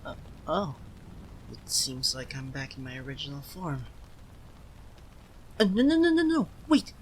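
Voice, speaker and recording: A man's voice: medium pitch, huge pitch swings, measured pace, slight background noise, rough recording. A man playing a proud, easily indignant young forest deity cursed into cat form, in a theatrical ASMR roleplay addressed to the listener. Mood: panicked, placating. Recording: rain sounds over the voice. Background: rain ambience.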